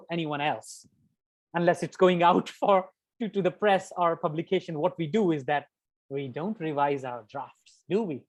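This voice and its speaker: A man giving an academic writing workshop, talking emphatically, repeating key words and adding conversational asides. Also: fairly high pitch. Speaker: workshop lecturer. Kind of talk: interactive academic workshop lecture.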